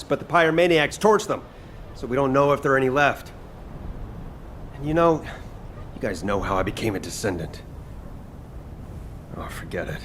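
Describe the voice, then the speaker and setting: An actor playing an easygoing young chef in a video game, with a casual, teasing way of talking. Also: male voice, medium pitch, a little hiss or hum, natural voice. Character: easygoing, joking young male chef and soldier.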